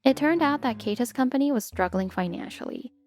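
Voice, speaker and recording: A polite, put-upon stepmother played by a woman narrates her troubles in a manga dub. Her voice sits fairly high and expressive, with soft music underneath. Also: brisk. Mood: narrating, troubled. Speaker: narrator and lead character. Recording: music bed behind the voice.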